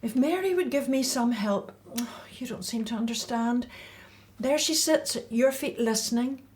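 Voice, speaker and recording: A woman's voice: a little high, moderate pace, darkish tone, slight background noise, lightly acted. A woman playing a fussy, overworked, resentful housewife in a radio play, complaining in a flustered way. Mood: flustered, pleading.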